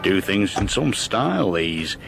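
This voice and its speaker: An actor playing a stolid, deferential police sergeant, plain-spoken, in a radio horror drama. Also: male voice, sits low, sing-song, a little slow, vocal fry, very noisy, full character voice. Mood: matter-of-fact. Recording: radio drama with music behind.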